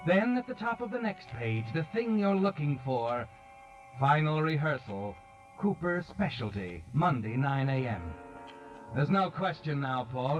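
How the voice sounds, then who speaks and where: An omniscient, ominous radio mystery narrator. His delivery is dramatic and insinuating, in a slightly raised male register. Music plays behind him on a muffled 1940s recording. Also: on the high side. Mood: urgent, taunting.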